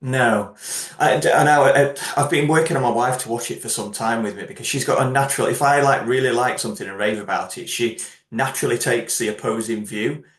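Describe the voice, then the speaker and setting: A man's voice: medium pitch, a little fast, fairly bright tone. A man hosting an interview, chatty and upbeat as he asks his guest questions. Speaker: host. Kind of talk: career interview. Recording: roomy echo.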